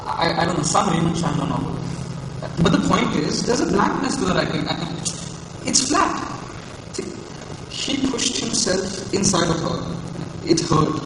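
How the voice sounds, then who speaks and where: A man on stage playing an earnest, flustered writing teaching assistant who explains things haltingly. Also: sits high, expressive. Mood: awkward, insistent. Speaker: stage actor in a college play. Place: stage in an echoey hall, recorded from the audience. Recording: roomy hall from far off, loud hiss.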